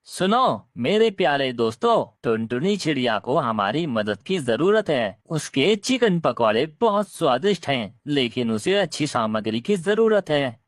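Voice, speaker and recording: A woman voicing a low-pitched, lively, encouraging male parrot. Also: unhurried, few pauses, no room sound, clean studio sound, full character voice. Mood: rallying. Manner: encouraging, earnest. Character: friendly, encouraging male parrot, a village bird. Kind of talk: cartoon moral story dialogue.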